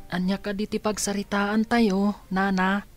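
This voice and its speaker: A woman's voice: mid-range voice, little pitch movement, measured pace, soft background music, lightly acted. Woman playing a timid orphaned child. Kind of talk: radio drama acting.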